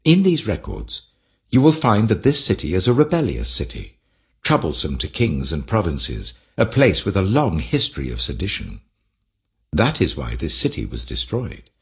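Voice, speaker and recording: A man's voice: sits low, wildly swooping pitch, normal speed, full character voice. A British-accented man voicing formal, deferential officials insistently reporting to their king in a scripture audiobook. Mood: urgent warning.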